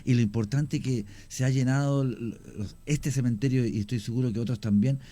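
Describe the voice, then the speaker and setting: A man, a radio guest explaining his cemetery work at length, often pausing with fillers. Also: mid-range voice, steady tone, dark tone. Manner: explanatory, hesitant with many fillers. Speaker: guest, cemetery tour creator. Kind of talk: radio interview.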